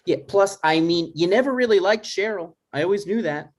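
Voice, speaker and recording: A man playing an anxious, needy adult son in an informal comedy table read, speaking in an earnest, halting, exasperated way. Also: high-pitched, lively intonation. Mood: anxious, hurt. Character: anxious, needy adult son seeking his dad's approval. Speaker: writer playing himself and reading stage directions.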